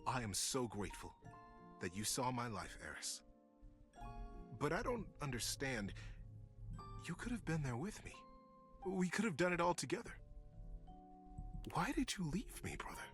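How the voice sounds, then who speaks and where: Young storybook brother performed by a man, earnest and halting, full of feeling, with swooping intonation. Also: mid-range voice, very animated, a bit bright, soft background music. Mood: pleading. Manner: halting, earnest, repeats himself. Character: Leo, earnest emotional young immortal man.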